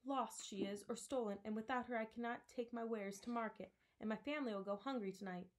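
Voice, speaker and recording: A woman's voice: a little high, a little fast, natural voice. A woman reading a worried, humble old villager in a storybook, pleading deferentially for help.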